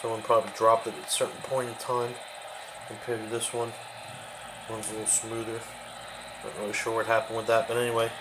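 A man giving a casual, off-the-cuff show-and-tell as a hobby video host, speaking in halting, trailing phrases in a kitchen behind a handheld camera. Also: lowish voice, completely flat delivery. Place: home kitchen, indoors. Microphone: handheld camera, behind the lens. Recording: clearly noisy home camera recording.

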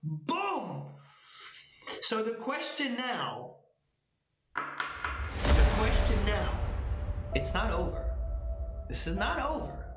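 A man in his thirties live-streaming at a desk indoors, muttering low, then shouting whenever he wins, with his voice going hoarse. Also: huge pitch swings, drawn-out words, long pauses. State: losing his voice. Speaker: lottery scratch-off streamer. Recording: roomy echo, loud music behind.

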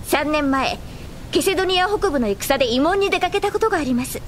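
High-voiced, proud young princess. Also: female voice, expressive, fairly quick, slight background noise. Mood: resolute. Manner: formal, ladylike speech. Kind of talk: Japanese anime audio drama acting.

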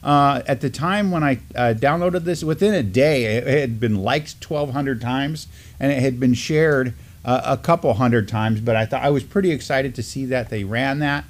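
A man's voice: mid-range voice, normal speed. Middle-aged American man hosting community news. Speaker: host of a community news show. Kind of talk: casual news chat. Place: indoor studio, seated at a desk.

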